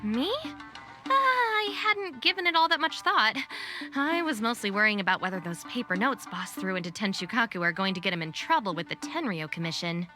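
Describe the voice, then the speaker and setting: A woman's voice: medium pitch, very animated, fairly quick, sharp tone, faint music behind. A woman voicing a sensible young gang deputy in a video game, practical and matter-of-fact. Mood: practical, concerned. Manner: level-headed, matter-of-fact.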